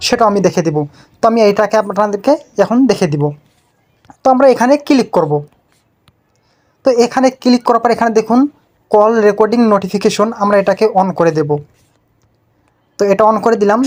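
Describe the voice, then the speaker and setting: A woman hosts a tech tutorial. She speaks rapidly at an average pitch for a woman. Her delivery is instructional, walking through each step with repeated phrasing. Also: a little mellow. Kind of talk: step-by-step screen tutorial narration.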